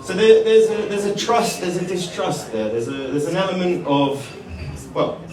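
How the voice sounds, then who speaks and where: A man giving a live conference talk, informal and halting, calling people mate. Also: high voice, crowd noise. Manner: informal, addresses people as mate, restarts phrases. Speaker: conference speaker. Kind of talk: live presentation to an audience. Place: conference hall. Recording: echoey hall, picked up from far off.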